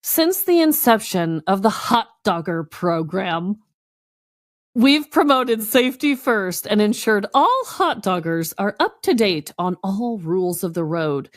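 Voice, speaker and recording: A woman's voice: mid-range voice, lively intonation, a little slow, no echo, studio quality. An American woman co-hosting a podcast, chatting casually and reading articles aloud in a giggly, conversational way. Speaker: podcast co-host.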